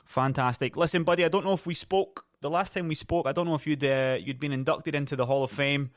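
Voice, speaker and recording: A man's voice: on the high side, steady tone, fast talker, no echo. A man hosting a podcast in a small studio, asking friendly questions and affirming the guest's answers. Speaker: podcast host. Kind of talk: casual interview. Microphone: headphones and studio mic.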